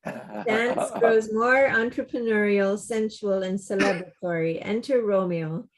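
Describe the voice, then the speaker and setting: A woman reading stage directions plainly and deliberately as narrator of a play table read. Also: medium pitch, fairly even intonation, poor audio. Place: remote video call.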